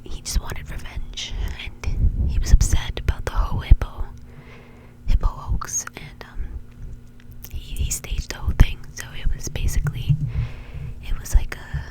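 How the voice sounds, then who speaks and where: A woman's voice: unhurried, poor audio. Whispering woman telling stories, ASMR style. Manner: informal, conversational, hedging with "like". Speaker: ASMR video creator and host.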